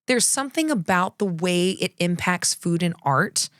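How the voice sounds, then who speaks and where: A woman co-hosting a podcast talks in an easy, enthusiastic way, piling up praise and restarting half-finished sentences. Her voice sits a little low for a woman. The recording is close and dry, with no room sound. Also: animated, moderate pace, dry recording. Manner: chatty, enthusiastic, trails off and restarts. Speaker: podcast co-host. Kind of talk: casual chat.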